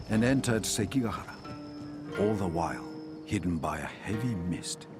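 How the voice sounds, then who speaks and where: A man narrating samurai wars for a video game cutscene as a grave chronicler, in measured, dramatic storytelling. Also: deep voice. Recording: music under the narration. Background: cinematic music.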